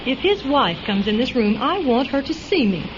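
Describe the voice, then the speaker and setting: An actress playing a bitter, nagging wife in a vintage-style radio drama, theatrical and full of complaint. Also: female voice, high voice, big pitch swings, normal speed, noisy background. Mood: insistent. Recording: dull lo-fi radio recording, loud music.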